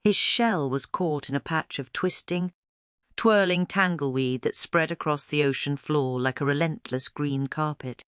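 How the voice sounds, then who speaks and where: A woman's voice: sits low, measured pace, a bit bright, clear, resonant tone, no echo, clean studio sound. A woman reading a scripted children's story aloud as its storyteller.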